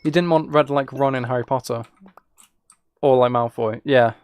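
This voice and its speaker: A man's voice: medium pitch, fairly even intonation, moderate pace, darkish tone, clear, resonant tone. A British man streaming a game and chatting casually with viewers, musing aloud in an informal, rambling way. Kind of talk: casual chat while playing a game.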